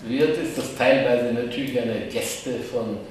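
A man, musing slowly and wryly as a guest author in a café discussion, often correcting himself. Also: medium pitch, a little hiss or hum. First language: German. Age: 80s. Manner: slow, musing, self-correcting, wry. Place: crowded café, indoors. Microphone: distant camera mic. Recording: echoey room from far off.

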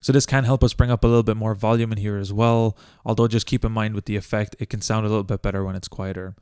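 A man presents a step-by-step software tutorial in a slightly low, smooth voice. He moves along quickly and speaks crisply. The recording is close and dry, with no room sound. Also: fairly even intonation, dry recording. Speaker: tutorial host.

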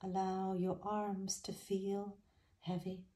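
Slow, mellow woman guiding meditation. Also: medium pitch, completely flat delivery. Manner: gentle, soothing, unhurried with soft phrase endings. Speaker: mindfulness meditation guide. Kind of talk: guided body scan meditation.

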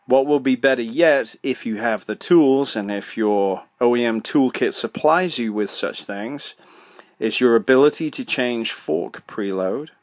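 A middle-aged podcast host giving practical, direct advice, often asking himself questions and then answering them. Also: male voice, mid-range voice, no echo. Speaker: podcast host, motorcycle suspension tuner. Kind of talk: solo podcast advice talk.

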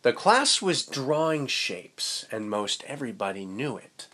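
A man's voice: medium pitch, measured pace. A young man reading a picture book aloud playfully, with casual asides to children. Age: young adult. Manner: playful, expressive. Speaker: children's storybook reader. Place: indoors, small room with curtain.

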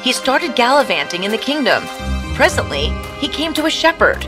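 A woman narrating a scripted fairy tale as a storyteller for an animated production. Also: fairly high pitch, moderate pace. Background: prominent background music.

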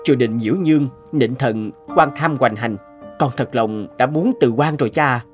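An actor playing an earnest, decisive young scholar-official in a cartoon, resolute and respectful. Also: male voice, medium pitch, normal speed, soft background music, close to an everyday voice.

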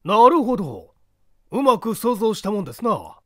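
Inquisitive gentleman guest for mystery audiobook male reader; high, animated, formal, keen questioner. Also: moderate pace, dry recording, clean studio sound, heavily acted. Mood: curious, polite.

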